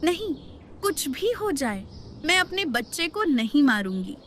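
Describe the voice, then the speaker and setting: Lady doing a high sharp-voiced betrayed young woman, melodramatic cartoon drama. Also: very animated, a little slow, slight background noise, heavily acted. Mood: anguished. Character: young courtesan betrayed by the king, defiant, anguished.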